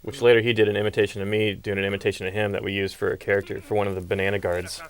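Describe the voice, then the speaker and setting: A man's voice: on the low side, flat delivery, rapid-fire. A man giving casual behind-the-scenes commentary as an animation artist, with a cartoon track playing behind him. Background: cartoon voices and background chatter.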